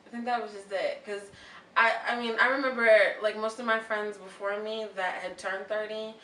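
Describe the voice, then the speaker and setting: A woman's voice: mid-range voice, moderate pace. A woman in her thirties hosting a casual interview show, chatty and upbeat. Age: 30s. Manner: upbeat, chatty, lively bursts of excitement. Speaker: host. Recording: echoey room from far off, faint noise.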